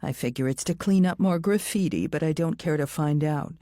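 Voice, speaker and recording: A woman's voice: sits low, fairly quick, warm tone, no echo. A woman reading scripted first-person thriller narration as an audiobook narrator.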